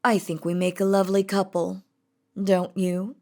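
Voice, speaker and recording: A woman's voice: medium pitch, level tone, darkish tone, close to an everyday voice. A woman voicing an imperious, possessive, lovestruck demon queen in a scripted roleplay audio drama, with a haughty, theatrical delivery. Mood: possessive, smug. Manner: haughty, theatrical, slow and deliberate.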